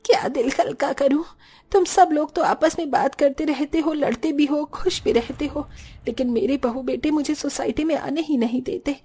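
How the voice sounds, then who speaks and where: A long-suffering cartoon mother in her fifties , voiced by a woman. She is gentle and put-upon, speaking in a high voice. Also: moderate pace. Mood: resigned, sad. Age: 50s. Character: gentle, long-suffering fifty-year-old well-off mother. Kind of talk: Hindi cartoon story voice acting.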